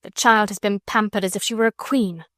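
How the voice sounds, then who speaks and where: A woman's voice: medium pitch, steady tone, a little fast, a bit bright, no room sound, studio quality, natural voice. A woman playing a cold, commanding headmistress. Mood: angry commanding. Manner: clipped, commanding, scornful. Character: stern, cold middle-aged headmistress.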